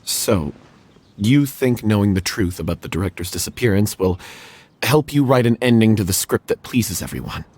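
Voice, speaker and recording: An actor playing a nervous, defensive troupe performer who hesitates and stammers, in a video game. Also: male voice, lowish voice, lively intonation, normal speed, darkish tone. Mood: troubled. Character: anxious male theater troupe actor, guarded.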